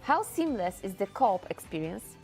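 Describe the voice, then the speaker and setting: A woman with a mid-range voice asks scripted questions as an interviewer. Soft music plays faintly behind her. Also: medium pitch, very animated, moderate pace.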